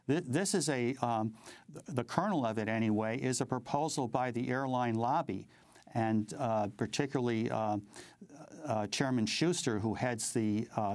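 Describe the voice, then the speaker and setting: Older american guy being interviewed, halting and measured, medium pitch, very dry sound. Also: a little mellow, no echo. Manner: halting, hesitant, measured delivery with repeated words. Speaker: interview guest, advocacy group president. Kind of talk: interview commentary.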